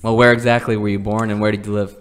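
Dry-voiced American host, low pitch. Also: male voice, flat delivery, fast, smooth, dry recording. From United States. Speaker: podcast host. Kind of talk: scripted intro then interview questions.

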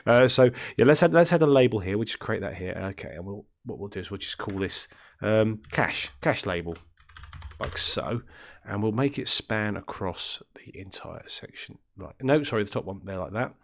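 Man, low-pitched, throaty sick voice, rambling coding tutorial, lots of ums. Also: lively intonation, normal speed, gravelly. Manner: rambling, self-correcting, many ums and uhs. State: deep, throaty voice from illness. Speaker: game development tutorial host. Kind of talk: screen-recorded coding tutorial.

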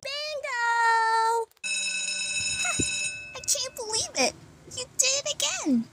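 A woman voicing a mischievous, excitable young schoolgirl in an amateur comic fandub. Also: extremely high pitch, very slow, no echo, exaggerated voice, low-quality recording. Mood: excited.

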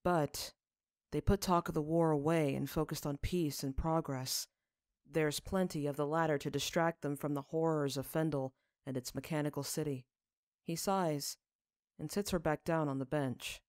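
A woman's voice: sits low, fairly even intonation, moderate pace, a little mellow, no room sound, clean studio sound. A woman reading fiction aloud as a narrator, in audiobook style.

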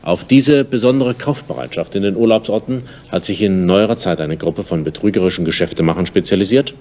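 A man's voice: sits low, brisk, darkish tone, a little hiss or hum. A middle-aged man presenting from a studio desk, scripted, sober and formal.

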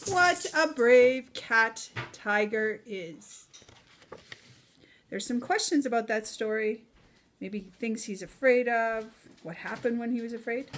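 Slow, high-voiced woman reading to children. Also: slight background noise. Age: middle-aged. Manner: deliberate, expressive, simple phrasing for young listeners. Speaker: storybook reader for children. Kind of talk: children's read-aloud.

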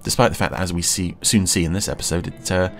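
A man giving casual, musing commentary while watching a TV episode, often pausing mid-thought. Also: deep voice, fairly even intonation, gravelly, soft background music. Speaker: podcast commentator.

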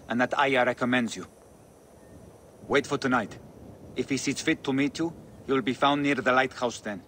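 An actor playing a polite, discreet male servant in a video game, speaking in a formal, measured way. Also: medium pitch, a bit bright, a little hiss or hum, lightly acted.

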